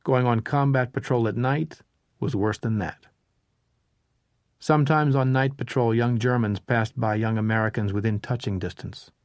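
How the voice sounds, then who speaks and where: A man narrating a history audiobook in a measured, even way. His voice sits at a middle pitch for a man. The recording is dry and close, with no room sound. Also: no room sound. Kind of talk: scripted narration of a history book.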